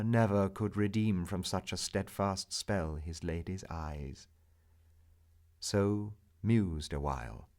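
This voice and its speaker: A man reciting a romantic narrative poem as its narrator, in a solemn, theatrical, measured manner. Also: deep voice, mellow.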